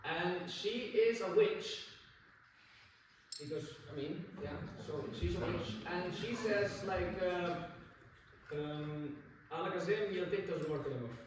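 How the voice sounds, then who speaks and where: A young man with an Icelandic accent talks through his slides in a loose, rambling way, full of fillers and casual swearing. His voice sits a little high. He is picked up from some distance in a very echoey room with faint background noise. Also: takes it slowly. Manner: rambling, filler-heavy, profane and jokey. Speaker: seminar presenter.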